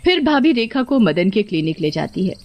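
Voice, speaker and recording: A woman's voice: medium pitch, very animated, brisk, a little mellow. A woman narrating a scripted children's story.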